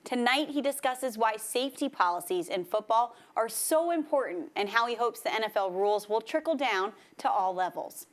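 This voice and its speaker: A woman's voice: a little high, expressive, normal speed, few pauses. A woman reading scripted sports news as a broadcast anchor.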